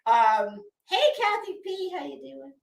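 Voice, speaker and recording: High-voiced, slow-talking American woman, roomy sound. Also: sing-song, gravelly. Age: middle-aged. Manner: blunt, opinionated, profane. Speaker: YouTube commentary host. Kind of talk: casual livestream commentary. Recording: roomy, echoey room sound.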